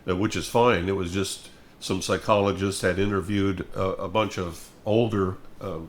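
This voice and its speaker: An older man speaks in a fairly low voice. His talk is conversational and reflective. He comes across as a plainspoken, thoughtful storyteller. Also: fairly even intonation, moderate pace, a little hiss or hum. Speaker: music minister and host.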